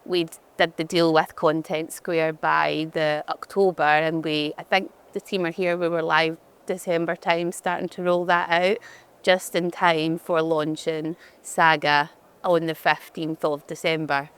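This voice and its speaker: A woman's voice: low-pitched, level tone, measured pace, fairly bright tone, smooth. A woman with a Glaswegian accent, speaking in a chatty way with frequent restarts as a guest in an on-stage fireside chat. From Glasgow, Scotland. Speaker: head of digital experience, conference guest.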